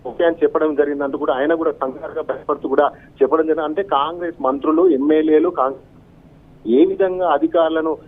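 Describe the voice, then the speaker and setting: Over a thin, narrow phone line, a male field correspondent reports live. His voice is slightly high, and his unscripted delivery is wordy, halting and repetitive. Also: fairly even intonation.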